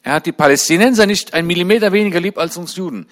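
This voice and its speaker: A man in his forties giving a sermon-style talk to a church congregation. He chats in a friendly way, then grows fervent and climbs high and loud on his main points. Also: rapid-fire. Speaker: guest speaker at a church.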